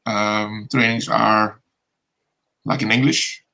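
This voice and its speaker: Bright-voiced man asking questions. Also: lowish voice, little pitch movement, slow, gravelly. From Netherlands. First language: Dutch. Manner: inquisitive, searching for words. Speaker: guest co-host. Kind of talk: casual interview questions.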